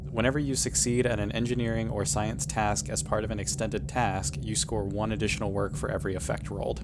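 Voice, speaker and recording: A man's voice: fairly low pitch, flat delivery, a little fast, very noisy. A man playing in a tabletop roleplaying game, upbeat and asking rules questions between dice rolls. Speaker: player. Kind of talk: casual tabletop game chat.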